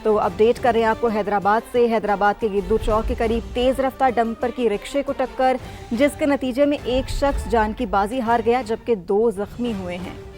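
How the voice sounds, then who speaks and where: A woman's voice: on the high side, fairly even intonation, normal speed, a little mellow, soft background music. A woman with a Pakistani accent reading a scripted news bulletin as anchor and handing over to reporters.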